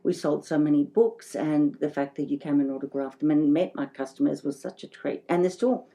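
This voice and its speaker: A woman's voice: sits low, fairly even intonation, fairly quick, dark tone. A middle-aged woman, chatting enthusiastically as a guest in a casual interview. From Greece, living in Australia. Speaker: interview guest, boutique shop owner.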